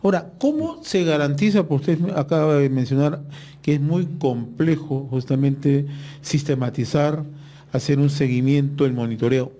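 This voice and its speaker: A male interviewer with a rather high, mellow voice puts probing follow-up questions to his guest. He drops in short acknowledgements between the answers and keeps steering the conversation. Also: moderate pace.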